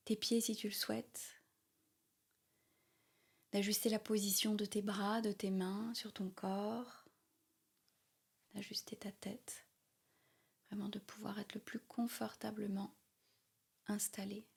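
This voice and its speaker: A woman guiding a yoga nidra meditation in a soft, breathy, calm and soothing voice, with long pauses and repeated phrasing. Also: medium pitch. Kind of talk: guided meditation, spoken slowly.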